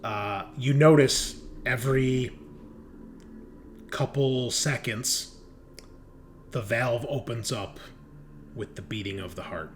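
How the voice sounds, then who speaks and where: A man improvising narration as game master of a horror tabletop game, halting with drawn-out pauses as he describes scenes. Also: medium pitch, slight background noise.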